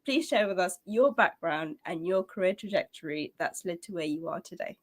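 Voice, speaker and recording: A woman's voice: lowish voice, lively intonation, moderate pace. A woman hosting a podcast, reading a guest introduction and chatting with her guest. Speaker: podcast host. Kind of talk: podcast interview.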